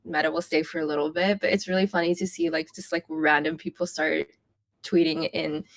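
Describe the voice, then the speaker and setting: A woman's voice: deep voice, steady tone, fairly quick, creaky. A woman guest in a casual podcast chat, easygoing and laid-back, often giggling.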